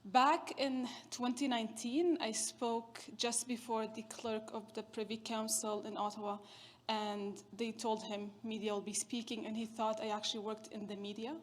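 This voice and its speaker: Chatty keynote woman, higher voice. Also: flat delivery, normal speed, a little hiss or hum. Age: 30s. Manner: chatty, storytelling with self-deprecating humor. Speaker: keynote speaker. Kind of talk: conference keynote talk.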